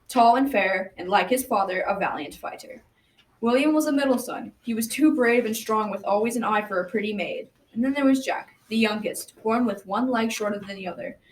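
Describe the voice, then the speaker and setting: A woman's voice: a little high, close to an everyday voice. A teenage girl narrating an old folktale as the storyteller of a school radio drama. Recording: roomy, distant mic, faint noise.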